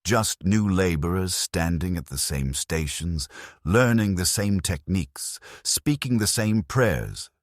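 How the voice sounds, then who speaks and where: Male story narrator, extremely deep and animated in pitch, ominous scripted delivery, close dry sound. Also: takes it slowly, dry recording. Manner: grim, ominous, solemn. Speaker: narrator of a sci-fi lore story. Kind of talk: dramatic scripted first-person narration.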